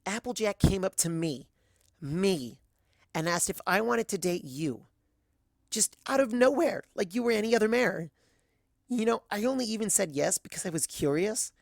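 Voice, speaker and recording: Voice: medium pitch, lively intonation, moderate pace, gravelly, no room sound, clean studio sound, voice pushed up. A reader voicing a timid, earnest young female pony who speaks in a hesitant, pleading way, in a dramatic fan fiction reading. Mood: hurt, earnest.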